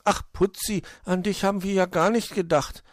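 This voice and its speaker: A man's voice: high voice, normal speed, dry recording, clean studio sound, pitched above the performer's own voice, lightly acted. A man voicing a cheerful, headlong young hare in a children's story. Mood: conceding, sorry.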